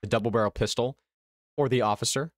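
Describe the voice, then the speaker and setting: A man's voice: mid-range voice, steady tone, quick pace, no echo. A man giving casual, chatty commentary on a video game as a YouTuber, talking informally straight to his viewers. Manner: chatty, informal, addresses viewers as "you guys". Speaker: gaming YouTuber.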